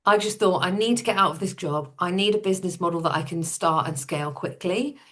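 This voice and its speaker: A woman with a slightly low voice, chatting as an interview guest. Her storytelling is casual and informal, and she puts things plainly and matter-of-factly. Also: a little fast, a bit bright. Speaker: podcast guest, entrepreneur.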